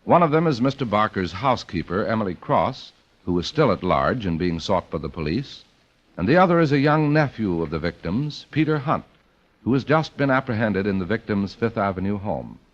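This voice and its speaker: Animated old-time American radio announcer man. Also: on the low side, normal speed. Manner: polished, emphatic. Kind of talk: 1940s radio announcing and advertising. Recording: muffled old radio recording.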